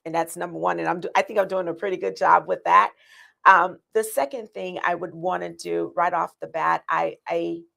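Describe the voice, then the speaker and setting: A woman's voice: lowish voice, gravelly, a bit bright. A woman running for county commissioner, earnestly laying out her plans with many ums and restarts. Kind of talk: answering interview questions.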